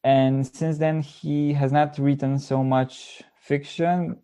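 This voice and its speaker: Man, podcast guest, soft dark timbre, mid-pitched, conversational, hesitant phrasing. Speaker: podcast guest, literary translator. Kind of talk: casual interview chat.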